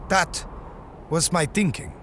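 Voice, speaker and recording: An actor playing a bold, shrewd rival hunter in a science fiction audio drama, with a declamatory, challenging delivery. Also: male voice, on the high side, slow, a bit bright, slight background noise. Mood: bargaining.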